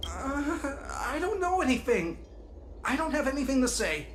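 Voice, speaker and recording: A woman voicing an evasive, defensive male dock worker in dramatic video game dialogue, hesitant and given to sharp retorts. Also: sits high, sing-song, normal speed, a little hiss or hum. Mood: nervous, evasive. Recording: loud music under the voice.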